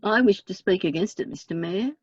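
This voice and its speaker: Husky woman councillor. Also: medium pitch. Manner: earnest, measured, plain-spoken. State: hoarse from lingering smoke. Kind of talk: council meeting speech. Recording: dry, dull lo-fi meeting audio.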